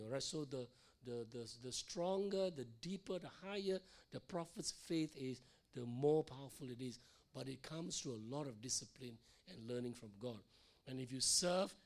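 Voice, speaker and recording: Hoarse gravelly pastor teaching a sermon. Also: male voice, a little high. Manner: repeats phrases, frequent "all right?" and "okay?" checks. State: hoarse, losing his voice. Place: church.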